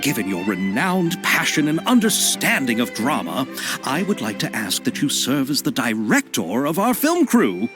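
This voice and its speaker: A man's voice: mid-range voice, wildly swooping pitch, normal speed. A man playing a polite, earnest, eager film director with a formal, courteous manner in a video game. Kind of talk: scripted video game dialogue. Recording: faint music behind the voice.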